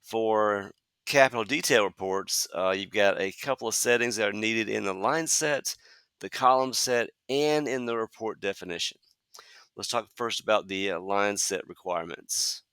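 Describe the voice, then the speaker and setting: A man in his fifties hosting a solo podcast, talking conversationally with frequent "uh" fillers and a slightly hoarse voice from a cold. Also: fairly low pitch, moderate pace, a bit bright, no echo. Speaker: podcast host.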